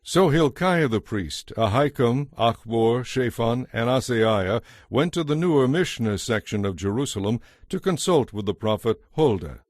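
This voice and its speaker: A man narrating scripture from a script in a measured, formal storytelling manner. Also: mid-range voice, lively intonation, darkish tone, dry recording, clean studio sound.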